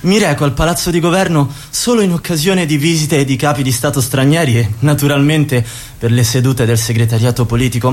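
A man's voice: on the high side, lightly acted. Man acting a solemn, lecturing party dictator. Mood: matter-of-fact. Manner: slow, repetitive, lecturing. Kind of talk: radio theatre monologue.

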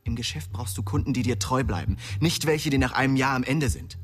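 An actor playing a stern, embittered young gang boss, curt and commanding, in a crime radio drama. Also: male voice, mid-range voice, fairly quick, fairly bright tone, breathy edge. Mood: guarded, stern.

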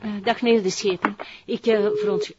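An actress playing a flustered, chatty older mother talking on the telephone, with a husky voice. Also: medium pitch, steady tone, fairly quick, very noisy, lightly acted. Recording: slightly dull sound.

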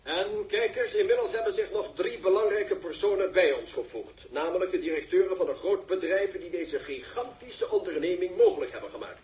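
An actor playing a pompous, easily flustered live reporter in a 1960s radio drama, speaking in formal, florid phrases. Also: male voice, high-pitched, expressive, a little fast, hardly any pauses, darkish tone. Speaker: television reporter. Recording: echoey, distant 1960s radio recording.

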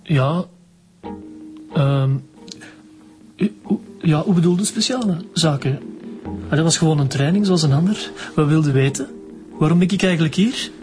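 A young actor playing a defensive teenage footballer with a Flemish accent, evasive and stammering under pressure, in a radio crime drama. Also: male voice, a little high, normal speed, long pauses, a little mellow, faint music behind, natural voice, rough recording.

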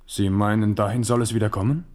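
Low-voiced, measured French king played by a man. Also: fairly even intonation, a little mellow, close to an everyday voice. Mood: firm, reproachful. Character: weary, shrewd French king Henri, conciliatory but firm. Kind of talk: German radio play acting.

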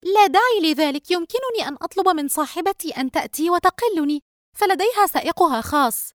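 A woman as an animated, whiny young sister. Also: very high-pitched, fast talker, no room sound, clean studio sound. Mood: grumbling. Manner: grumbling, pleading. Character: anxious, whining teenage younger sister. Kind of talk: dramatised audio story dialogue.

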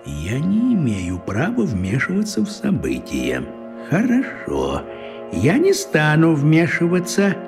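A sly, husky little old wizard. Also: male voice, on the high side, huge pitch swings, takes it slowly, over music, full character voice. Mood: pondering. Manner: coaxing, crafty, folksy. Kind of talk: children's radio play acting.

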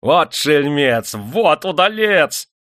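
A man's voice: fairly high pitch, very animated, slow, fairly bright tone, no echo, studio quality, voice pushed up, heavily acted. A man voicing a domineering, gleefully cruel eighteenth-century factory owner in an audiobook, bellowing and crowing his exclamations. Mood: excited, gleeful. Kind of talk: dramatised audiobook character voice.

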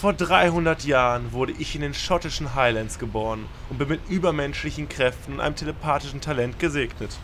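An actor playing an overeager paladin who introduces himself with grand, theatrical self-importance. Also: male voice, a little high, brisk, few pauses, fairly bright tone, a little hiss or hum, lightly acted. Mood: grandiose. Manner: grandiloquent, then flustered. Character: earnest male paladin hero overeager role-player. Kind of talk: comedy audio drama.

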